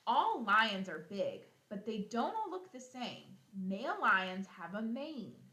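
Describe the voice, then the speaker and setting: Female primary teacher for a kids' read-aloud, bright and crisp-edged, instructive with question-and-answer phrasing, recorded in a roomy space. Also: mid-range voice, slow pace. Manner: animated, instructive, asks questions and answers them herself. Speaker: elementary school teacher. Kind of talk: read-aloud lesson for young children, explaining as she reads.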